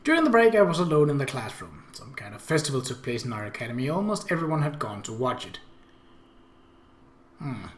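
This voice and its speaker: A man hosting a let's play, reading a visual novel aloud and adding casual, halting commentary. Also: mid-range voice, sing-song. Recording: echoey room.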